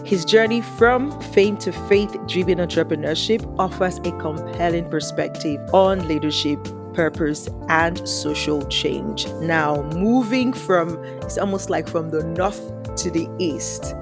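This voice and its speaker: A woman's voice: lowish voice, measured pace, vocal fry, few pauses. Bubbly, upbeat woman host with music. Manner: upbeat, bubbly, excited exclamations. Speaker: podcast host. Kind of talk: podcast interview.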